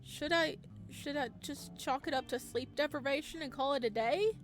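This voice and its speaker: A woman's voice: high voice, moderate pace, voice pushed up, lightly acted. A woman voicing an uneasy young male insomniac in a visual novel, hesitant, guarded and wry. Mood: wry, unsettled. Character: uneasy, sleepless young man, guarded therapy patient. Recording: music playing behind the voice.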